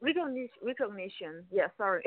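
A young woman from France with a French accent, a violinist guest on a phone interview, speaking English haltingly and searching for words. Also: medium pitch, lively intonation, no echo. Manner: hesitant, searching for words, many restarts. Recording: tinny phone line, dry.

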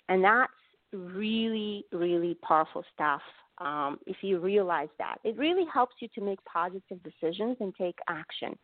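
A woman's voice: fairly low pitch, no room sound. A woman guest, an empowerment coach, speaking earnestly and enthusiastically in a live online interview. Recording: dry, phone-like narrow audio.

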